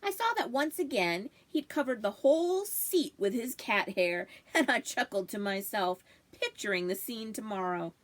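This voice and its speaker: A woman with a slightly high voice reads a storybook aloud. Her pitch moves in lively swings, and she delivers the tale expressively, as a reader for children would. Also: normal speed, hardly any pauses. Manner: animated, acting out dialogue. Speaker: librarian reading aloud to children.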